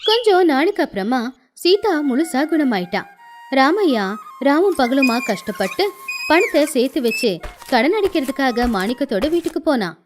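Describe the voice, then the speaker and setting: A woman narrates a scripted story. Her voice sits very high. The intonation is lively and moves widely up and down. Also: normal speed, music in the background.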